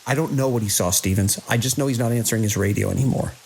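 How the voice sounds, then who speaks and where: An actor playing a grim, commanding security chief in a horror audio drama, giving terse, urgent orders. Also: male voice, medium pitch, quick pace, gravelly, darkish tone, close to an everyday voice. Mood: tense, commanding. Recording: constant hiss and rain-like noise.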